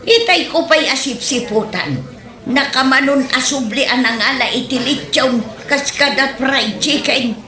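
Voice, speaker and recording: A woman's voice: sits high, a little slow, bright, forceful tone. A woman with an Ilocano accent playing a stubborn, dramatic, complaining heavyset woman in a comedy drama. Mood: griping, defensive. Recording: roomy echo, distant microphone.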